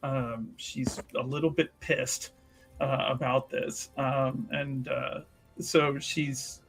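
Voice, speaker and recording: A man playing a tabletop roleplaying game, narrating his character's actions casually in halting, wordy improvised sentences that often restart. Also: mid-range voice, fairly even intonation, gravelly, a bit bright, low-quality recording.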